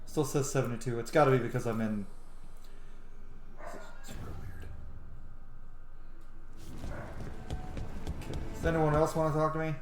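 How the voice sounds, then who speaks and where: A streamer giving casual, excitable and sweary commentary on a video game. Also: medium pitch, measured pace, pauses often. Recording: roomy, echoey, noisy game stream.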